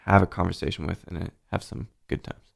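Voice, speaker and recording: A man with a very low, gravelly voice hosts a relaxed podcast chat. He talks loosely and swears now and then. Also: fast talker. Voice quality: deep voice. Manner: laid-back, swears casually. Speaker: podcast host. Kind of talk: casual interview chat.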